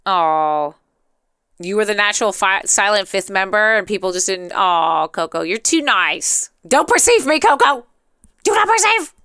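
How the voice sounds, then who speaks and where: Expressive woman streamer, very clear, bright voice. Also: medium pitch, measured pace, no room sound, clean studio sound. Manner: talkative, rambling with tangents; expressive, playfully dramatic. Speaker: live streamer. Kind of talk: casual chatty livestream commentary.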